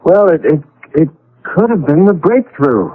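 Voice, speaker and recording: Young husband: urgent, easily rattled, fairly high voice, vintage radio mystery on narrow-band sound. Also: male voice, animated, a little slow. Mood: grim, wry. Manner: urgent, stammering when rattled. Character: insistent, rattled young husband, displaced in time. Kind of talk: old-time radio drama acting.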